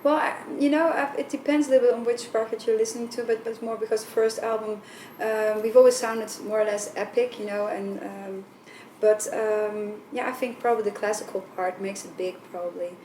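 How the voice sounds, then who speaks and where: A woman's voice: fairly high pitch, fairly even intonation, a little fast, hardly any pauses, darkish tone. Dutch woman with a light voice, roomy. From Netherlands. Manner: chatty, many fillers and restarts. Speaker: band vocalist. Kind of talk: casual interview answers. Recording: roomy, far from mic, faint noise.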